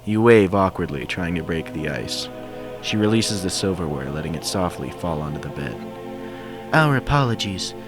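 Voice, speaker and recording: A man's voice: deep voice, moderate pace. A man reading a scripted fan fiction story as its narrator. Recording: soundtrack music behind the voice. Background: game soundtrack music.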